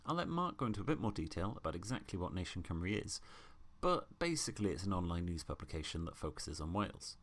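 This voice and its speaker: A man hosting a casual podcast interview, chatty and quick to laugh. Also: low-pitched. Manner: chatty, laughs easily, lively delivery. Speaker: podcast host.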